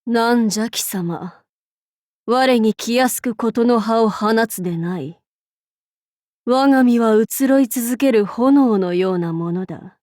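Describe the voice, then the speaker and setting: Haughty demon king warlady for a game, slow old-timey commanding speech, bone dry studio sound. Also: on the high side, warm tone, no echo. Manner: archaic, lordly, commanding speech. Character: haughty female demon-king warlord, imperious yet amused. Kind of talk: Japanese game character voice lines.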